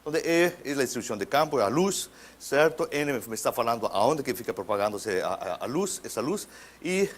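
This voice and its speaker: Mexican physicist, male, guest lecturer in accented Portuguese, stop-start delivery with throat clearing, mid-pitched. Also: sing-song. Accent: Mexican Spanish. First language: Spanish. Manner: halting, restarts words, frequent throat clearing. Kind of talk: academic lecture.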